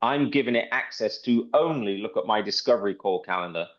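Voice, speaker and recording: A man's voice: fairly low pitch, animated, fairly quick. A man presenting an informal product demonstration in conversational talk. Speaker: presenter demonstrating a phone assistant. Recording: dull lo-fi audio.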